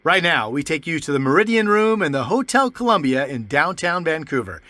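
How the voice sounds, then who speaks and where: High, bright male radio announcer. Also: very animated, brisk, no echo. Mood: businesslike. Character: brisk, businesslike male radio weather announcer. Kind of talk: scripted old-time radio announcing.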